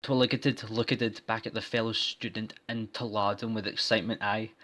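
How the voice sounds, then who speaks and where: A man reading a story as a deliberately bad parody narration, garbling and mispronouncing words on purpose. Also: on the low side, flat delivery, a bit bright. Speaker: fan fiction reader.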